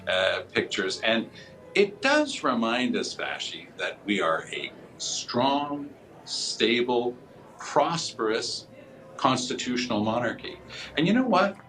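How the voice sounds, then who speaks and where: A man's voice: medium pitch, sing-song, slow, a bit bright, low-quality recording. A man with a Canadian accent giving emphatic political commentary on live TV, with some background noise.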